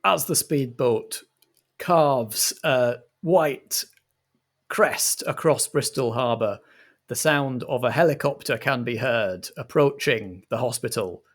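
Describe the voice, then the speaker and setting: A man narrating a roleplaying game as game master in a measured, deliberate storytelling manner. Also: mid-range voice.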